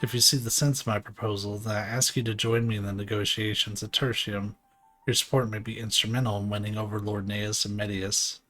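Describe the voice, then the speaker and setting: A man voicing a courteous, dignified ruler in a video game, with formal, archaic, measured diction. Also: fairly low pitch, little pitch movement, sharp tone, soft background music, rough recording. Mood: formal, earnest.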